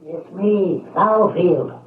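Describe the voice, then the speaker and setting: A man's voice: sits high, takes it slowly. An actor playing a sly coin dealer in an old-time radio drama, needling and impatient as he bargains. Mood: coaxing. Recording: muffled vintage radio broadcast recording.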